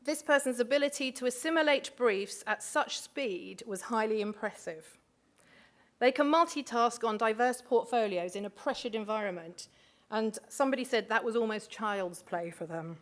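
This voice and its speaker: A woman's voice: fairly high pitch, moderate pace. A woman hosting a live awards ceremony on stage, chatty and full of asides, speaking into a microphone in a large hotel function room. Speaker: awards host.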